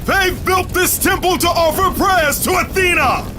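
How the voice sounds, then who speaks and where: An actor playing a fanatical soldier serving a war god, shouting orders in a video game cutscene. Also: male voice, very high-pitched, normal speed, over music. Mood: fanatical command. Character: zealous male soldier of a war god, commanding. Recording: game cutscene with loud score.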